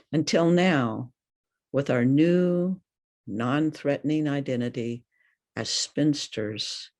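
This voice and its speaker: An older woman reading poetry aloud in a deliberate, questioning manner. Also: rumbling low, a little mellow. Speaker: poet.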